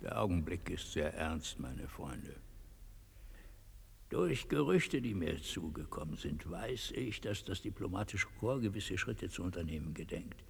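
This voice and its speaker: An actor playing an imperious, coldly courteous Latin American dictator in a radio play, husky, gravelly and formally menacing. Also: male voice, sits low, big pitch swings, measured pace, full character voice. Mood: grave, calculating. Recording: echoey hall with long reverb.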